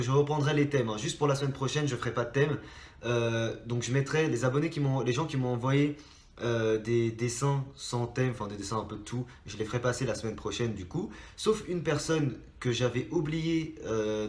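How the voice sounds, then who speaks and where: A man's voice: mid-range voice, reverberant. A young Frenchman hosting a drawing channel, talking casually to his subscribers with a stuffy nose from a cold and lots of hesitant restarts. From France. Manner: rambling, many hesitations and restarts.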